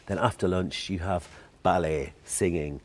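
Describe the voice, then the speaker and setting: A man's voice: deep voice, a little slow. A middle-aged man with a Geordie accent, a choreographer chatting in an interview, telling his story with restarts and laughs. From Newcastle, England. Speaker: guest choreographer. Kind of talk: casual interview chat.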